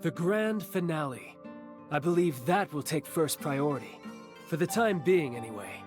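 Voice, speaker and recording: A woman's voice: sits low, animated, normal speed. A woman voicing a grandiose divine emissary in a video game, declaiming in lofty, archaic, theatrical phrases. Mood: assured. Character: grandiose divine emissary Primal, theatrical, exuberant, young-sounding. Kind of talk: scripted video game dialogue. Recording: orchestral music behind the voice.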